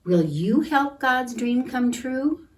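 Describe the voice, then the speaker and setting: A woman reading a picture book aloud to children in a gentle, deliberate manner, pausing to comment and ask questions. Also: a little high, animated, slight background noise. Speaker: children's storytime reader at a church. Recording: distant microphone.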